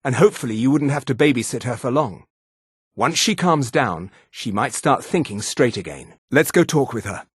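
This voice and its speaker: Raspy, haughty high elf mage. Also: male voice, animated, normal speed, dry recording, studio quality. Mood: concerned, confiding. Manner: imperious, disdainful, theatrical. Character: haughty high elf mage, arrogant adult male supremacist. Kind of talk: video game character voice lines.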